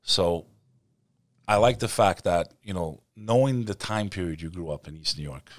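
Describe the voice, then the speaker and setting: Chummy low-voiced male host. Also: lively intonation, moderate pace, dry recording, studio quality. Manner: chummy, fired-up, calls the guest "brother" and "man". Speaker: podcast host. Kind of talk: casual interview chat.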